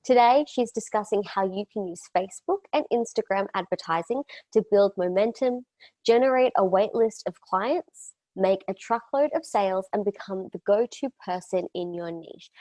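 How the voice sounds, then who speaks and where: A woman host on a video call, reading a prepared introduction at a steady pace. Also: mid-range voice, moderate pace, no room sound, poor audio. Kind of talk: scripted introduction.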